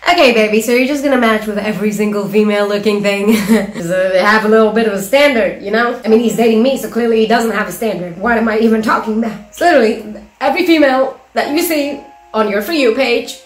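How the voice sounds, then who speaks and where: A woman's voice: on the high side, fast talker. Teasing young woman in an echoey room. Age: young adult. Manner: teasing, giggly, sarcastic asides. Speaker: reaction vlogger. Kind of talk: casual reaction commentary. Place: small room at home, indoors.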